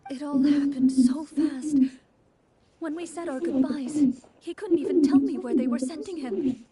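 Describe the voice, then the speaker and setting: A woman's voice: high voice, a little slow, crowd noise. A woman voicing a young game character who narrates a role-play scene and plays a troubled wife, hesitant and flustered.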